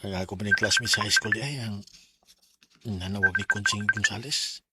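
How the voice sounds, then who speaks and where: A man's voice: fairly low pitch, huge pitch swings, moderate pace, heavy background noise. A man acting in a Bisaya radio drama as a sentimental older male lawyer, with a Cebuano accent and emotive delivery. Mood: reflective. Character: Teresito, sentimental older male lawyer looking back on heartbreak.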